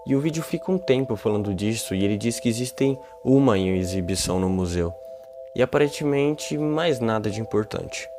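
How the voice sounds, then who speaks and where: Low-voiced Brazilian man over music. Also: lively intonation, fairly quick. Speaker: YouTube narrator. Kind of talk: informal explanatory commentary on a horror video.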